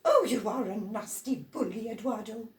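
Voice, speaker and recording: A woman voicing a loud, exasperated grown-up from a storybook, scolding with emphatic exclamations. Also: lively intonation, lightly acted. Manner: shrill, emphatic, drawn-out exclamations. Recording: roomy echo, distant from microphone.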